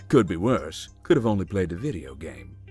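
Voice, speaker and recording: A very low male voice plays a giant, laid-back man in a horror visual novel. His delivery is folksy and dry, with lively swings in intonation. Music plays behind him. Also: a little slow, slightly rough, full character voice. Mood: wry, cautious. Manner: deadpan, folksy, wry. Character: giant, easygoing, wry man exploring a haunted hospital.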